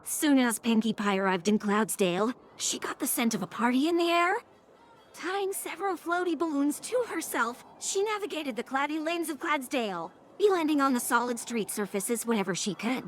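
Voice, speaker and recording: Woman narrator, text-to-speech, higher pitch, scripted story reading, slight background noise. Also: animated, moderate pace.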